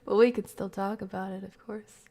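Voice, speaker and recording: A woman live streamer chatting casually with viewers, soft-spoken and often slipping into a breathy near-whisper. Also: medium pitch, fairly even intonation, normal speed. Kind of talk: casual livestream chat with viewers.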